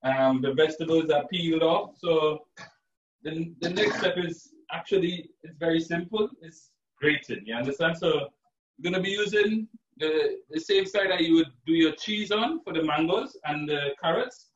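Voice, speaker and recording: Man from Trinidad, chef, chatty and relaxed, higher voice, roomy kitchen sound from far off, dull lo-fi. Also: normal speed. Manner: relaxed chatty explainer, many fillers. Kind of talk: live cooking demo. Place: home kitchen indoors. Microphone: far camera, video call.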